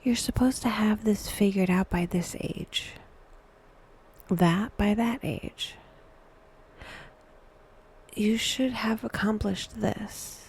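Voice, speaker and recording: Very slow, hushed, breathy woman guiding meditation. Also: mid-range voice. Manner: hushed, breathy, often near a whisper; wry, dry humor. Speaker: guided meditation host. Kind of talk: scripted guided meditation narration.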